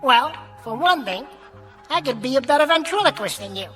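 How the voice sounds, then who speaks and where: A man's voice: very high-pitched, animated, normal speed, sharp tone, soft background music, heavily acted. A man voicing a cocky, quarrelsome little ventriloquist dummy with an American accent, heckling in a radio comedy act. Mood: indignant. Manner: cheeky, combative, slangy heckling.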